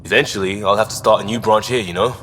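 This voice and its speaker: An actor playing a plainspoken, earnest crisis responder in a sci-fi video game, casual and wry. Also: male voice, fairly low pitch, fairly even intonation, quick pace, a bit bright, lightly acted. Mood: fond. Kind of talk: video game character dialogue. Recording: hall reverb, faint music behind.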